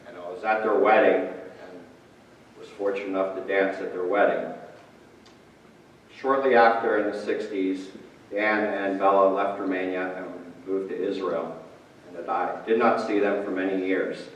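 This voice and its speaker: Guy reading a memorial tribute, lowish voice, big echoey hall, far from mic. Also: fairly even intonation, normal speed, muffled recording, a little hiss or hum. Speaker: family friend reading his father's tribute. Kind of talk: memorial speech read aloud. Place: large reverberant hall at a memorial service.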